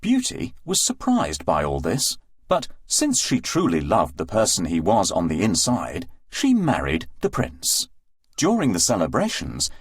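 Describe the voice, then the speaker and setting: Male storyteller, children's read-aloud narration, hugely varied intonation, husky tone, dry sound, faint music. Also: lowish voice, no echo.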